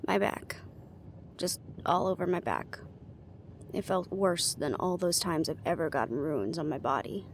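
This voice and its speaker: Woman acting low, gravelly weary fantasy leader. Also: a little slow, slight background noise. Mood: weary, confessional. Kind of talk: audio roleplay acting.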